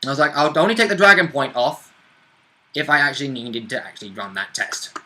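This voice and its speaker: A man's voice: mid-range voice, big pitch swings, brisk, pushed and bright, a little hiss or hum. A man chatting casually as a player in a tabletop roleplaying game, chipping in on the recap.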